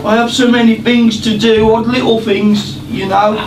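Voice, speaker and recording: A man's voice: high-pitched, little pitch movement, moderate pace, lightly acted. A man playing a hapless, earnest, apologetic young servant in an amateur rhyming pantomime. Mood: timid, apologetic. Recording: distant, echoey, noisy stage recording.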